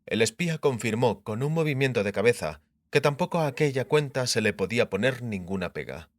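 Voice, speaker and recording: A man's voice: mid-range voice, animated, brisk, dry recording. A man reading scripted fantasy narration as the narrator of an audiobook.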